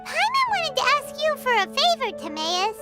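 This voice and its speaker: A woman voicing a tiny, childlike fairy girl companion in an anime game, excitable, whiny and pushy, with a squeaky voice. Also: normal speed, full character voice. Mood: cheerful, pleading. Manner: speaks of herself in third person, excitable. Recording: loud music behind the voice.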